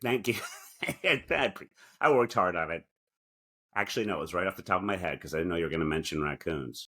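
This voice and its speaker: A man's voice: deep voice, brisk, bright, forceful tone. A man co-hosting a talk show with casual comedic banter and theatrical, mock-indignant asides. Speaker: podcast co-host. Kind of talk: casual comedic banter, reading aloud from articles.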